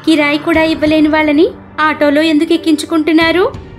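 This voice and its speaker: Woman acting a high, shrill scolding wife. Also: little pitch movement, brisk, faint music behind, natural voice. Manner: shrill, complaining. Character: bitter, scolding poor wife of rickshaw driver.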